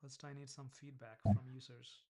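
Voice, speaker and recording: A man with an Indian accent talks casually while working through his app. He thinks aloud, pausing, restarting and trailing off mid-sentence. His pitch stays in an ordinary male middle range. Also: fairly even intonation, warm tone. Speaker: vlogger building an app. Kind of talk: casual screen-recorded coding vlog.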